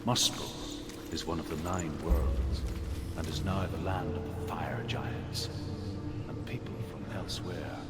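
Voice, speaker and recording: Deep, echoing myth storyteller. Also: male voice, little pitch movement, a little slow. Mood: grave. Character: grave male storyteller of Norse myths. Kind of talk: scripted mythic game narration. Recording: long reverb, loud music behind.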